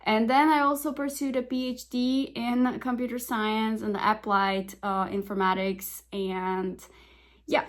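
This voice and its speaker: A woman's voice: on the high side, flat delivery, a little slow. Chatty young Slovak woman vlogging at home. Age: 20s. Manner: chatty, upbeat, talking to camera. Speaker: vlogger and software engineer. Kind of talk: casual day-in-the-life vlog. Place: home living room. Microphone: phone camera at arm's length.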